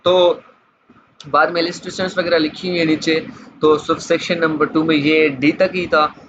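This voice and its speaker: A man's voice: fairly high pitch, little pitch movement. A man with a Pakistani accent giving an informal law lecture, reading out clauses from slides and explaining them with frequent repetition. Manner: explanatory, slows markedly when reading English clauses, repeats phrases. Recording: muffled lo-fi audio, clear background noise.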